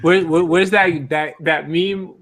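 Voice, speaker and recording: A man co-hosting a casual podcast, slangy and mock-incredulous, often repeating his phrases. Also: fairly high pitch. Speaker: podcast co-host. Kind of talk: casual joking podcast chat.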